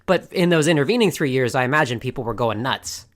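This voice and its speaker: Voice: medium pitch, expressive, fast. A podcast co-host chatting casually, speaking articulately and steering the topic. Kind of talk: casual chat.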